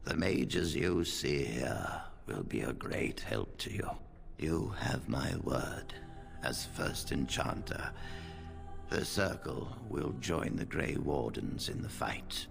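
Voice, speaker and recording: An old fantasy game wizard with an extremely low, heavily creaking voice. He speaks in a measured, dryly wry way, slow and dignified, with music playing clearly underneath. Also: animated, heavily acted. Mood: resolute. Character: aged male First Enchanter mage, wry and dignified. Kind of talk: video game dialogue.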